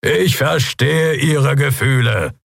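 A man voicing a stern, unshakeable beast-man guard captain in a fantasy story, loud and booming, with formal, commanding authority. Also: slow, creaky, no echo, studio quality. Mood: firm, placating.

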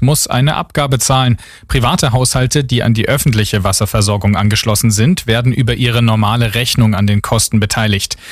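A man reading a radio news bulletin in a measured, formal way. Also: mid-range voice, dry recording. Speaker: news anchor. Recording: dry studio sound.

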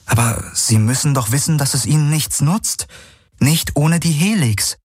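Man voicing an earnest woman, lifted pitch. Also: moderate pace, a little mellow, slightly rough, dry recording, studio quality. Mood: puzzled, urgent. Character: young adult woman, resilient, earnest, worried space traveller Kirai. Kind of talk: dramatised audiobook dialogue.

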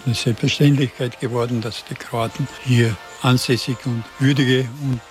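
Halting elderly man with slight accent. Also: medium pitch, fairly even intonation, faint music behind. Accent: slight Burgenland Croatian. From Burgenland, Austria. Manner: halting, repeats and restarts words. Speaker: interviewee. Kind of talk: conversational interview.

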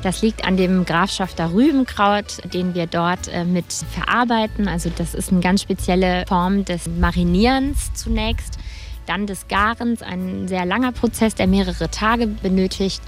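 A woman's voice: mid-range voice, measured pace, soft background music. A middle-aged woman from the Rhineland, explaining things as an interview guest in the hospitality trade. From Rhineland, Germany. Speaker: hotelier and restaurant host, interview guest.

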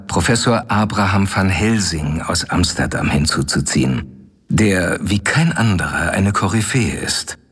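An actor playing an earnest young doctor in a radio play, measured as he reads his letter aloud. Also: low voice, fairly bright tone, slightly rough, heavily acted. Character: earnest young male doctor, worried friend.

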